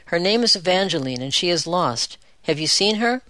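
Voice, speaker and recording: A woman's voice: lowish voice, lively intonation, normal speed, a bit bright, dry recording. A woman voicing a desperate middle-aged shoemaker who pleads for his lost daughter, her voice rising. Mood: pleading.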